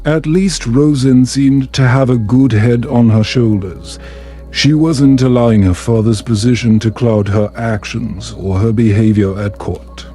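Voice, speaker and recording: A man reading a bedtime story as calm, scripted ASMR narration in a measured, soothing and gentle manner. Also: mid-range voice, faint music behind.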